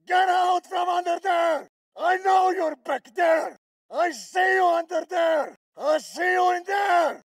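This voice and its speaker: Menacing, gravelly Russian soldier. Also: male voice, extremely high pitch, slow, no echo, shouting, heavily acted. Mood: enraged, taunting. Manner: threatening, taunting. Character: brutal, menacing Russian soldier hunting a child. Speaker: video game voice actor. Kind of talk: combat voice lines for a shooter game.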